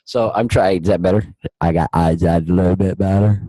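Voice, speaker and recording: Hoarse, husky male host; earnest motivational speaker, low voice, dry close sound. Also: fairly even intonation, brisk, dark tone, no room sound, poor audio. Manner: earnest, preachy, repeats phrases. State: hoarse, losing his voice. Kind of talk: motivational talk on a live online call.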